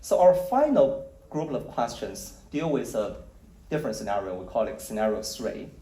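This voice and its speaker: A man presenting survey results at a conference, speaking in a measured, explanatory way with occasional fillers. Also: medium pitch, big pitch swings, warm tone. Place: conference hall. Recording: roomy hall audio, mic at a distance.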